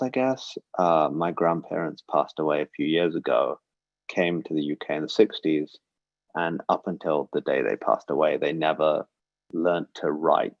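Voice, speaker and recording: A man's voice: a bass voice, creaky, dry recording, highs cut off. A man in his forties giving an earnest, reflective personal talk, with frequent ums and uhs. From Britain. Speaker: guest speaker. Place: synagogue livestream.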